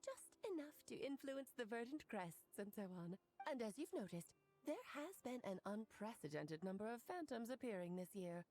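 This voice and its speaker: Game role: playful, knowing motherly sorceress, bright-spirited and theatrical, slightly high voice with very lively intonation. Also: moderate pace, soft background music. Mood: explanatory, assured. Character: breezy, self-assured motherly mage, playful and knowing. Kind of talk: video game voice acting, expository dialogue.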